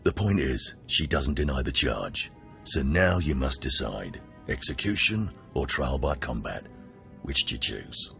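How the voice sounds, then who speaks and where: An actor playing a wry, contemptuous older Sith jailer in a video game, with a sardonic drawl. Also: male voice, a bass voice, sing-song, measured pace, creaky, fairly bright tone, soft background music, full character voice. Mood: stern. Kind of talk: video game cutscene acting.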